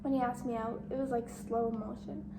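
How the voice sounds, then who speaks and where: A woman's voice: fairly high pitch, fairly even intonation, moderate pace, creaky, very noisy. A woman playing a shy, insecure, bookish teenage outcast in an amateur dramatic monologue. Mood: dreamy, nervous.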